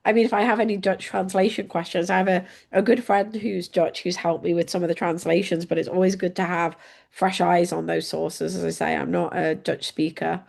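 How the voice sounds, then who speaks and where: A woman's voice: fairly low pitch, little pitch movement, a little fast, vocal fry, a little mellow. A woman from England with an English accent, an unwell guest lecturer answering questions politely on a video call. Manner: polite and appreciative. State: unwell, under the weather. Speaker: guest speaker, history lecturer.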